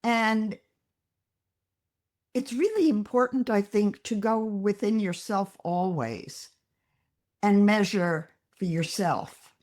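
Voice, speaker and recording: Slow, measured woman psychologist. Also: mid-range voice, darkish tone. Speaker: psychologist guest. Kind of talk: interview, explaining ideas.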